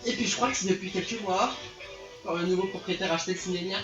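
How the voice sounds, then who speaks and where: A man's voice: sits high, fast, muffled recording, voice pushed up, natural voice. A man voicing an irritated male cat-man cinema clerk from a visual novel, in an echoey room. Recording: echoey room with music behind.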